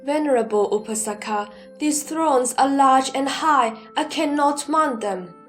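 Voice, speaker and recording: A woman voicing an earnest, humble male monk disciple in an audio drama, reciting in a plain, measured way. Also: sits high, soft background music. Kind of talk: scripted audio drama dialogue.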